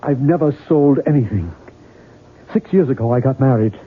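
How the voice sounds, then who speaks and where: An actor playing an intense, tormented American novelist in a 1970s radio drama, speaking with fervent earnestness. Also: male voice, medium pitch, animated, normal speed, phone-quality sound, a little hiss or hum, natural voice. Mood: earnest, bitter. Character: tormented, intense struggling male novelist, obsessed and pleading.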